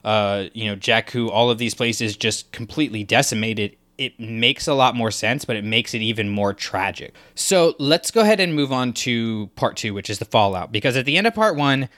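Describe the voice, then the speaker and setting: A man's voice: mid-range voice, animated, moderate pace, few pauses, fairly bright tone. A man co-hosting a podcast, talking in a loose, rambling way full of fillers. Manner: rambling, filler-heavy, enthusiastic. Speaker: podcast co-host. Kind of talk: casual discussion.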